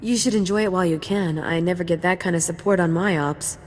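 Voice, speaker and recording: A woman's voice: fairly low pitch, fast talker, in a large hall, soft background music, close to an everyday voice. A woman playing a confident, wry young special forces sergeant in a video game, casual and playful. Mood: breezy briefing. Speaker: soldier giving mission briefing. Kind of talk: scripted game dialogue.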